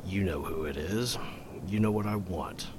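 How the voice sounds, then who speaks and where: A man's voice: low-pitched, moderate pace, very noisy, natural voice. A man playing a scheming blackmailer in an amateur radio drama, speaking with menace and sneers. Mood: menacing. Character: scheming middle-aged male blackmailer.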